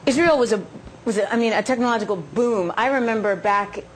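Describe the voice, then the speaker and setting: Quick, higher-voiced woman interviewing. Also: a little hiss or hum, low-quality recording. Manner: informal, chatty questioning. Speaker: TV interviewer, journalist. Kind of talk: casual live business news interview.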